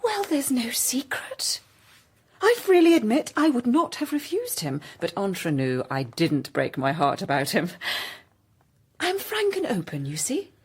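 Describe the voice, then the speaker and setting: An actress with an English accent playing a witty, scheming young governess in a radio drama, arch and mock-sweet. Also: female voice, medium pitch, husky, heavily acted. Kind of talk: British radio drama acting.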